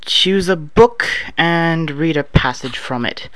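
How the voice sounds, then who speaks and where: A man's voice: a little high, slow pace, smooth, no room sound, rough recording. Casual Canadian man in his thirties. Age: 30s. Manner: relaxed, offhand, dry humor with many filler uhs. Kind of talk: casual accent-tag recording, reading prompts aloud. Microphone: no proper microphone, home setup.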